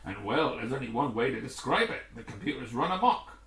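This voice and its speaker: A sober, careful commander read by a male storyteller briefs his team with measured authority, pausing as he weighs things up. The voice has lively intonation and sits in an echoey room. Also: medium pitch, distant mic, slight background noise. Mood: grave. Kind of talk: acted adventure story dialogue. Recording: echoey, roomy home recording.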